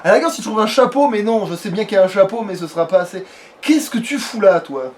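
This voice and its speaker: High-pitched male let's player, rambling, playful, a bit foul-mouthed, some noise on the track. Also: animated, fast. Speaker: let's player, playing and hosting the video. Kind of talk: casual let's play commentary.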